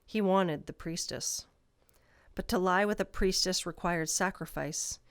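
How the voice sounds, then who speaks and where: A woman's voice: on the low side, unhurried, smooth, no echo, studio quality. A woman narrator reading a scripted fantasy story.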